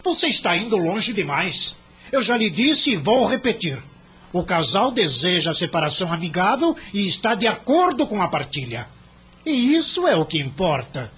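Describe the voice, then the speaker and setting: A worldly, stern law-firm boss from an audio drama, voiced by a man. He sounds very rough and husky, a bit high in pitch, with an authoritative, patronizing delivery. The recording is dull. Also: sing-song, normal speed, pushed and bright, heavily acted. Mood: stern, confiding.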